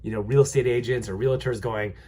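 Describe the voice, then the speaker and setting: A young man, nasal and stuffy from a head cold, talks through local housing numbers in a loose, rambling way. He fills gaps with ums and restarts, keeping an upbeat, reassuring tone at an ordinary, mid-range pitch. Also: fairly even intonation, fairly quick, warm tone, slightly rough. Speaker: realtor giving a market update. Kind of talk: casual vlog market commentary.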